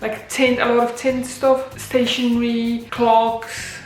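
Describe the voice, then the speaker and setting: A woman's voice: a little high, flat delivery, slow. A young woman from Poland, whose first language is Polish, chatting casually to camera in a vlog and filling her talk with likes and you-knows. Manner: chatty, uses many fillers like "like" and "you know". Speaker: vlogger. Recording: echoey room with faint music.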